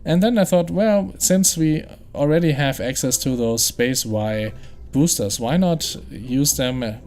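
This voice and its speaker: Brief: gaming host, German accent, chatty, thinking aloud, average pitch. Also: male voice, unhurried, darkish tone. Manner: explanatory, chatty, thinks aloud with fillers and restarts. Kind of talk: game playthrough commentary.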